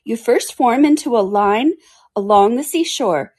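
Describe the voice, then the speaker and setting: Woman voicing a brusque, high-pitched boisterous griffin. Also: lively intonation, measured pace, a little mellow, husky. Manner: emphatic, exclaiming, interrupting.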